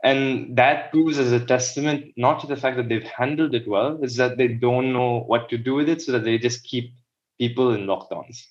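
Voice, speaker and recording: A man's voice: mid-range voice, fairly even intonation, normal speed, dull audio. A young man from the Philippines, a podcast guest, who talks casually and rambles with many restarts and "like" fillers. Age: young adult. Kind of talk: casual interview talk.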